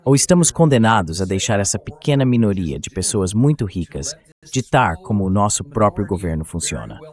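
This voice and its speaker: A man's voice: fairly low pitch, very animated, fairly quick, darkish tone. A man voicing a translated political interview host, putting questions to guests in a dubbed voice-over.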